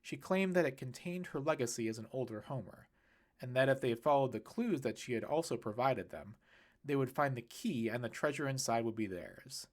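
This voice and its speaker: Expressive man narrating a story. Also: mid-range voice, moderate pace. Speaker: audiobook narrator. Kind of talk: expressive scripted fiction narration.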